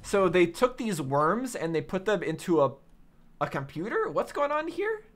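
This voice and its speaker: A man's voice: sits high, sing-song, normal speed. A man giving casual, off-the-cuff reaction commentary, musing aloud in a puzzled, questioning way. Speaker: reaction video host.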